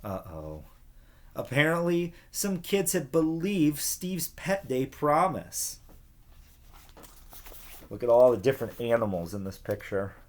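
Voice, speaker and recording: A man reading a children's storybook expressively, with dramatic pauses and casual asides that question the young listeners. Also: medium pitch, very animated. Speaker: read-aloud storyteller for children.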